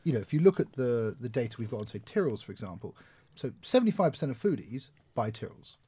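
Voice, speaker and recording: A man giving retail and brand advice in a business discussion, talking quickly with stumbling restarts. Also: medium pitch, big pitch swings, mellow, slightly rough, no echo.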